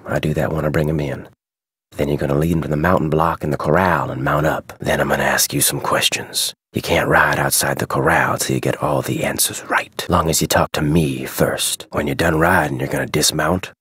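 An actor playing a gruff, guarded head wrangler in a video game, with a terse, folksy American Western drawl. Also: male voice, extremely low pitch, a bit bright, dry recording, studio quality, heavily acted. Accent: American Western cowboy drawl. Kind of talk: scripted game dialogue.

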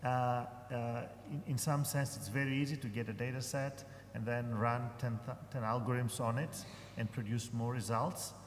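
A man speaking as a professor on a conference panel, pausing often with fillers and restarts. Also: medium pitch, fairly even intonation. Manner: hesitant, many fillers and restarts. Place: large conference hall. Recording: echoey hall, faint room noise.

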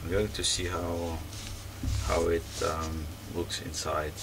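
Low slow gravelly guy, fixing stuff on a bench, lots of ums, mic kinda far off with background noise. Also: flat delivery. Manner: hesitant, many ums and restarts while working. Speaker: repair video host. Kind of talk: casual hands-on repair commentary. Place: workbench, indoors.

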